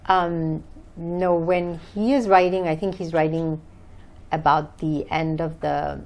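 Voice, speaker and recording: A woman's voice: low voice, fairly even intonation, slow, darkish tone. A woman from Bangladesh answering conversationally as an interview guest, hesitant as she searches for words. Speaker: interview guest, author and English teacher.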